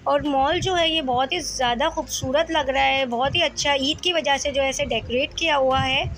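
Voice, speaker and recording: Chatty high-voiced Karachi Urdu woman vlogger. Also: moderate pace, fairly bright tone, a little hiss or hum. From Pakistan. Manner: chatty, leans on filler "jo hai" constantly. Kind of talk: voice-over narration of a mall outing. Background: music.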